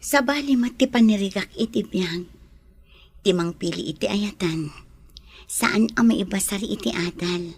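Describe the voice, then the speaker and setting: A woman playing a gentle, ailing lady of the house in an Ilocano audio drama, speaking tenderly with a breathy, soft-edged voice. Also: mid-range voice, measured pace. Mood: wistful, sad.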